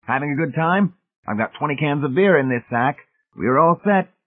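A man's voice: a little high, lively intonation, moderate pace, no room sound, phone-quality sound, voice pushed up. Guy doing a beefy sly buddy character from a novel, hearty, voice pushed up. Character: crafty, jovial, beefy man, philosophical pal.

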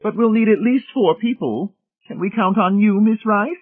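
A man's voice: high-pitched, big pitch swings, moderate pace, dry recording, phone-quality sound, voice pushed up. A man voicing a bossy, gushing older matron in a storybook reading, emphatic and coy. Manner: emphatic, joyous, fluttering.

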